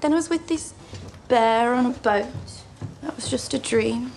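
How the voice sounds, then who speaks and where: An actress playing a headstrong, defiant young woman with a slightly gravelly voice. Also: fairly high pitch, a little mellow, slight background noise. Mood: chatty. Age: young adult. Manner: quick, chatty, defiant. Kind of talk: period TV drama.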